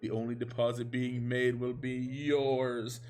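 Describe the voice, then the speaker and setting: A man voicing a self-important, stern cartoon cat hero in a comedic story reading, in a pompous, deliberate manner. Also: mid-range voice, level tone, a little mellow, faint music behind, close to an everyday voice. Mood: stern, determined. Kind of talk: comedic fan fiction reading.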